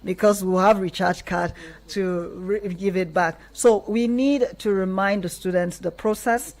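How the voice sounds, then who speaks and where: A female radio presenter with a Nigerian accent speaks at a medium pitch. She hosts live from a studio, addressing her guests and her listeners directly. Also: steady tone, measured pace, a little mellow. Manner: affirming interjections while guests talk. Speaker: radio host.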